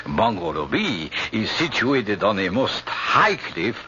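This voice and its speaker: Oily, sing-song Spanish-accented hotel keeper. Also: male voice, lowish voice, sing-song, slow, bright, forceful tone, exaggerated voice. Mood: smooth, unctuous. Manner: obsequious, flowery, theatrical. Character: unctuous, sly little hotel keeper at island resort. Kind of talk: old-time radio drama acting. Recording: dull, noisy old radio recording.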